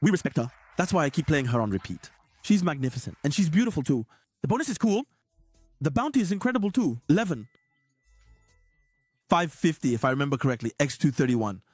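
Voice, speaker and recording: A hoarse man giving excited, repetitive casino slot commentary as a streamer. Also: a little high, a little fast, long pauses, dry recording, studio quality, soft background music.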